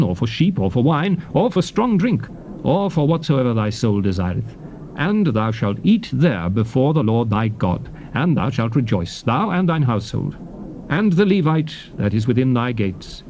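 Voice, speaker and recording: An actor playing a solemn male lawgiver declaring commandments in a measured, theatrical dramatized King James Bible reading. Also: medium pitch, darkish tone, natural voice. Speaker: scripture reader. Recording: dull, noisy old cassette tape recording.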